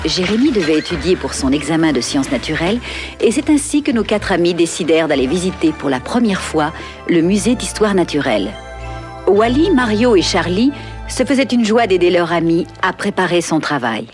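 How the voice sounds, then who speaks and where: A woman narrates a cartoon in a storybook style. Her voice sits a little low and moves with lots of melody, over faint music. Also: sing-song, moderate pace, darkish tone.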